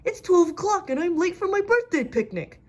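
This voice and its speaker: A woman's voice: extremely high pitch, very animated, normal speed, voice pushed up. A woman voicing a forgetful, eager young boy character in a children's storybook, earnest and exclaiming. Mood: dismayed.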